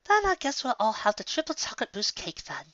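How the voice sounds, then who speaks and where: A man's voice: extremely high pitch, huge pitch swings, brisk, pushed and bright, no echo, muffled recording, voice pushed up, heavily acted. A man voicing a refined, chatty young female unicorn with genteel, formal manners in a story reading. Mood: polite.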